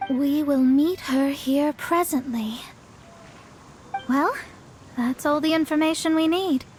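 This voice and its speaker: A woman's voice: sits high, slow, a little mellow, soft background music. A woman voicing a proud young female astrologist in an anime fantasy game, speaking formally and with theatrical self-assurance. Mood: self-assured.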